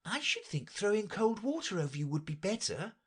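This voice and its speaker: Very high, polite little storybook girl, voiced by a man. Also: sing-song, brisk, a bit bright, husky, full character voice. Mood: prim, helpful.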